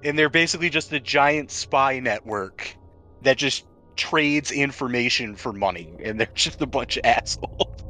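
A man's voice: medium pitch, moderate pace, pushed and bright, faint music behind. A man speaking casually as game master, narrating and refereeing a tabletop game with jokey, profane asides.

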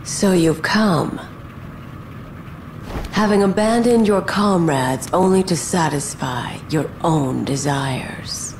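Video game villainess, cold sorceress, deepish voice, drawn-out delivery, imperious, theatrical, taunting. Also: female voice, a little mellow, a little hiss or hum. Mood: cold, challenging.

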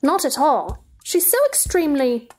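Woman playing a high-pitched, earnest little girl. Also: very animated, normal speed, a little mellow. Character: earnest, puzzled, polite young girl Alice. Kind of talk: audio play acting.

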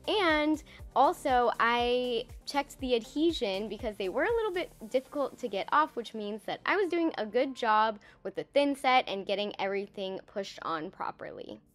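A woman's voice: a little high, expressive, moderate pace, fairly bright tone, soft background music. A young woman narrating her own renovation video in a voice-over, enthusiastic and explanatory about the project. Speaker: DIY renovation vlogger. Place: voice-over, not recorded in the pictured bathroom.

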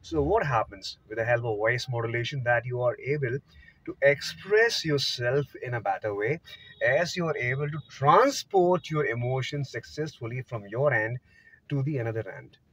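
A man's voice: medium pitch, expressive, moderate pace, breathy edge, dry recording. A man in his thirties with an Indian accent, giving an emphatic, informal English lesson into a phone inside a car. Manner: emphatic, demonstrative, swinging between soft and loud. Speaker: English teacher. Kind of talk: informal video lesson to camera. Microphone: phone at arm's length. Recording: dull lo-fi phone audio.

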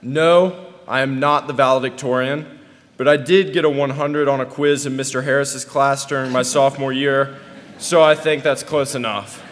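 A young American man in his late teens delivering a prepared commencement speech in a deliberate, deadpan way. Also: medium pitch, a little hiss or hum. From North Carolina, United States. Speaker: student graduation speaker. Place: podium on a stage in a large hall. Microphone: podium microphone. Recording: echoey large hall, long reverb.

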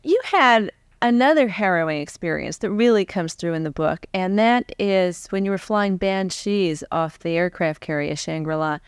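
Woman, forties, American accent, crisp clean voice, close dry sound, conversational interviewer. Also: lowish voice, normal speed, hardly any pauses, no room sound. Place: on stage before a live audience. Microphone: large foam-covered microphone on a stand, close.

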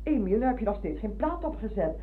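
Casting: motherly, chatty older schoolmistress, very lively delivery, insistent, tinny noisy old radio drama. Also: mid-range voice, fast talker, breathy edge. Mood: bustling. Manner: effusive, insistent, hesitating. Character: talkative, motherly older former schoolmistress and wife. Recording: muffled, hissy old radio recording.

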